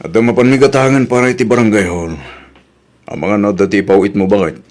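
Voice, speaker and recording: A man's voice: lowish voice, steady tone, brisk, a little mellow, close to an everyday voice. A man with an Ilocano Filipino accent playing a bossy, money-minded middle-aged village captain in a comedy audio drama. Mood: scheming. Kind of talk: comedy radio drama acting.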